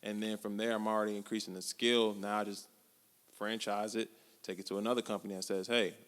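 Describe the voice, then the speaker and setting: A man in his twenties co-hosting a podcast, talking casually and reflectively in relaxed slang. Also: lowish voice, fairly quick, smooth. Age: 20s. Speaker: podcast co-host. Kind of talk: casual chat. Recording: long hall reverb.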